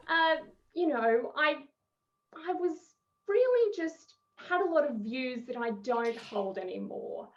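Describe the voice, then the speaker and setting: A thirty-year-old woman with an Australian accent performing wry, expressive stand-up over a headset on a video call, in muffled, noisy audio. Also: high-pitched, slow. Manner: expressive, wry, chuckling between phrases. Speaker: stand-up comedian. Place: small room at home.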